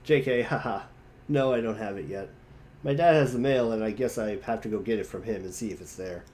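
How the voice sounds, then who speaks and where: A man's voice: lowish voice, fairly quick, darkish tone, lightly acted. A man voicing a hapless, frustrated young teenage boy with a casual, sheepish manner in an amateur webcomic reading.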